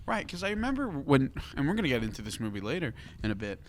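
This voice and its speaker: A man's voice: medium pitch, big pitch swings, quick pace. A man hosting a casual podcast discussion, talking in an emphatic, questioning way. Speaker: podcast host. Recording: noticeable background room noise.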